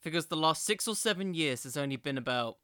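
A man hosting a solo podcast, giving conversational commentary in a musing, halting way with emphatic bursts. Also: a little high, expressive, pushed and bright, smooth, no echo, studio quality. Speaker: podcast host.

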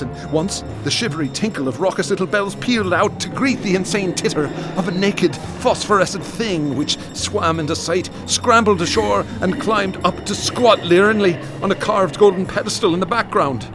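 Music plays clearly behind a haunted man played by an actor in a horror radio play. His voice sits fairly high, and he recites his nightmarish visions in florid, theatrical language, intense and traumatized. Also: normal speed, lightly acted. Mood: feverish horror. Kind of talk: radio drama horror monologue.

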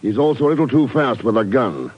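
An actor playing a cool, skeptical secret agent in a 1950s radio drama, speaking in a clipped, wry way. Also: male voice, medium pitch, sing-song, fast, background noise, lightly acted. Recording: muffled old radio recording.

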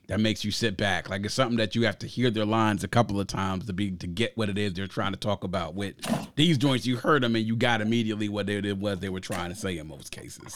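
A man's voice: fairly low pitch, fairly bright tone, clean tone, dry recording. A man from Grenada hosting a casual music review, speaking emphatically and repeating phrases for stress. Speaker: podcast host and album reviewer.

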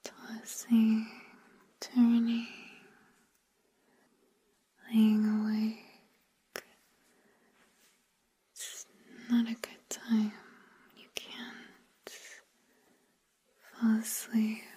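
A woman softly whispering a guided sleep hypnosis in ASMR style, gentle and soothing, leaving long pauses. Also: on the high side, completely flat delivery. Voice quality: soft, breathy whisper.

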